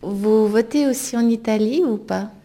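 A woman hosting an interview segment, asking brief, clear questions. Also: fairly high pitch, normal speed, dark tone. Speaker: interviewer and host.

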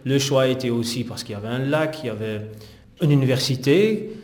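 A man's voice: medium pitch, brisk, a little mellow. Hesitant middle-aged man, roomy sound. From Netherlands. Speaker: interviewed physiotherapist. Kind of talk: conversational interview answers recounting personal history.

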